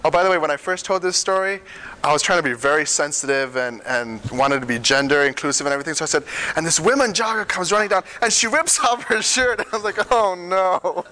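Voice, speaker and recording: A young man talks to a small group in a relaxed, conversational way, often chuckling and pausing on fillers as he tells a story. His voice sits a little high for a man and has a bright, forceful edge. He grew up in Singapore and Malaysia. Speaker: speaker at a small student group. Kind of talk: informal talk with storytelling.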